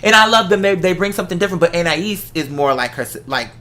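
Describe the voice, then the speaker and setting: A casual talk host with a bright, forceful voice at a middling pitch. The delivery is conversational and animated, working through personal stories with examples and quoted asides. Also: fast talker, slight background noise. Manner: animated storytelling, explains with examples and acted-out quotes.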